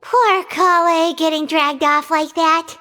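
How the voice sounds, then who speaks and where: An actress voicing a tiny, excitable, childlike fairy companion in a video game, cute and squeaky. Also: female voice, slow, noisy background. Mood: amused.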